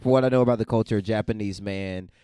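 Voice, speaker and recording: A man's voice: fairly low pitch, quick pace, dark tone, smooth, no room sound, studio quality. A man co-hosting a podcast, giving dating advice in a confident, emphatic way. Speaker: podcast co-host. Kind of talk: casual advice chat.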